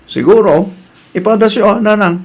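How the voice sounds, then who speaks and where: A man's voice: high-pitched, moderate pace, breathy edge. A man playing a preachy, peacemaking husband and son in an Ilocano family comedy drama. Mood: calm, concerned.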